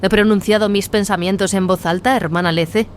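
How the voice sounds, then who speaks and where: A woman's voice: mid-range voice, fairly even intonation, fairly quick, a little hiss or hum, natural voice. A woman playing a wary, commanding warrior nun in a science fiction audio drama, serious and guarded. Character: wary, commanding female battle sister squad leader.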